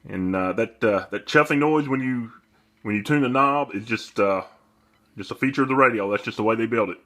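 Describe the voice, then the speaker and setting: A man's voice: medium pitch, a little fast, a bit bright, a little hiss or hum. A man giving a casual, plain-spoken and somewhat hesitant demonstration of a product. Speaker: presenter demonstrating a radio for sale.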